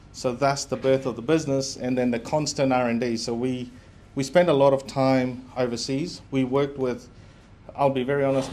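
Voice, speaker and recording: A man's voice: medium pitch, a little mellow, slight background noise. A middle-aged man from Fiji and New Zealand gives an informal, candid presentation as a business founder.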